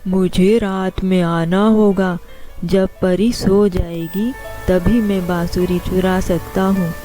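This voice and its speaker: A sly young boy from a children's cartoon. He speaks slowly and exclaims in a whiny way, with a soft, mellow tone. Light music runs underneath. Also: fairly low pitch, steady tone. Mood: scheming. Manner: slow, exclamatory, talking to himself. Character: sly, whining young boy who steals a magic flute. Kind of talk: Hindi children's cartoon dialogue.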